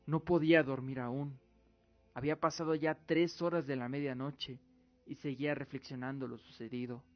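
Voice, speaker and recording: A man's voice: mid-range voice, fairly even intonation, normal speed, dry recording. A man giving dramatic scripted narration as the narrator of an audio drama. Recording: dull lo-fi recording with music. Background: music.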